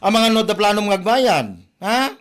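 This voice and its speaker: A man acting a feminine, sharp-tongued store owner with a Filipino accent, nagging a debtor sarcastically in a comedy drama. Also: sits high, animated, normal speed. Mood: exasperated scolding. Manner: sarcastic, nagging, emphatic scolding.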